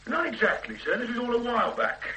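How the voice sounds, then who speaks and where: An actor playing an eager, cheeky detective sergeant in a radio drama. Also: male voice, extremely high pitch, fast, a little hiss or hum, full character voice, low-quality recording. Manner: cheeky, lively, persuasive. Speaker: police sergeant. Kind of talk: 1970s radio drama acting.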